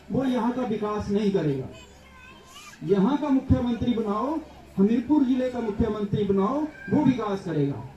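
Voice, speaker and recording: A man's voice: extremely high pitch, warm tone. A man delivering a political speech at a public gathering, building his points through repeated lists. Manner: rhetorical, repetitive lists. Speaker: political speaker. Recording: echoey, distant, dull sound.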